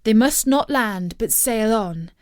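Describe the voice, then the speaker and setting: A woman narrating a scripted children's story in a measured, expressive storyteller's manner. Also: fairly high pitch, no room sound, clean studio sound.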